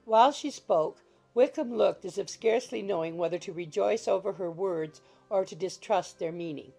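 A woman's voice: medium pitch, moderate pace, creaky, mellow, slightly rough, rough recording. A woman reading scripted literary narration as the narrator of an audiobook. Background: faint music.

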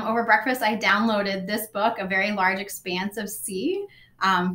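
A woman in her thirties, a school librarian, reading a book passage aloud to students with lively, expressive delivery, recorded on a desk webcam. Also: medium pitch, echoey room. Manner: expressive, animated storytelling with gestures. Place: school library office with bookshelves, indoors.

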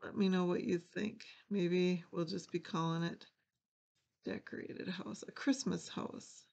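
Gravelly older woman chatting through a craft demo. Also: on the low side, steady tone, measured pace, a little mellow, muffled recording. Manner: chatty, self-deprecating, thinking aloud while working. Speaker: craft channel host. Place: indoors at a craft table. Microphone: fixed camera over a craft table.

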